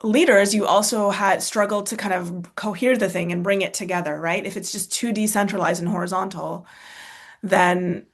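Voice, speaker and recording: A woman's voice: medium pitch, moderate pace. An American-born woman, author and speaker, answering questions in a casual talk, thoughtful, with many fillers, restarts and tag questions. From United States.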